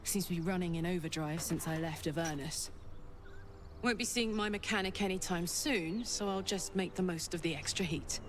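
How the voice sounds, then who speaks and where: A woman's voice: lowish voice, normal speed, background noise, lightly acted. A woman playing a brash, hot-blooded warrior in a video game, blunt, swaggering and sardonic. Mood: wry, brash. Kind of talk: video game dialogue acting. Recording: game dialogue with music behind.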